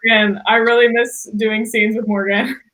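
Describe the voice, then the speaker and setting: Higher-voiced woman, an enthusiastic actor chatting. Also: little pitch movement, moderate pace, fairly bright tone, poor audio. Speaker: actor in an audio drama. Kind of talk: casual chat.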